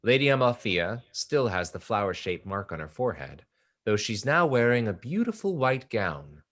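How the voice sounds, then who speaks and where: A man's voice: on the low side, big pitch swings, moderate pace, a bit bright. A man narrating stage directions from a script for a play reading.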